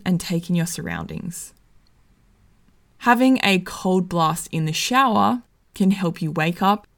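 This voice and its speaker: A woman with a slightly croaky voice giving casual, enthusiastic wellness advice. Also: on the low side. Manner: friendly, enthusiastic, conversational. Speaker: wellness vlogger.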